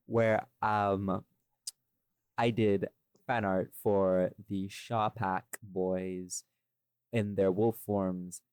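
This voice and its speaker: A man's voice: low voice, steady tone, very slow, a little mellow, clean tone, no echo, clean studio sound. A man chatting casually in a rambling way, often interrupting himself and repeating phrases. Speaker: podcast guest, voice actor. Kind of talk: casual chat.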